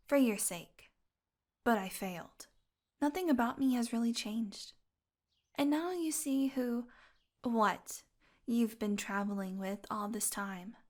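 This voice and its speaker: A woman playing a possessive fantasy healer in an audio roleplay, tender and secretly bloodthirsty, speaking softly and deliberately. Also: high voice. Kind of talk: intimate audio roleplay monologue.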